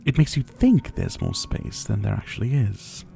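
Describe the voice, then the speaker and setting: A man's voice: on the low side, big pitch swings, over music, heavily acted. A man playing a menacing, teasing vampire captor in an intimate ASMR roleplay, drawling and mockingly polite. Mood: idle, conversational. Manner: slow, drawling, mockingly polite, with breathy chuckles. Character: menacing, teasing male vampire captor, silver-haired, about seventy.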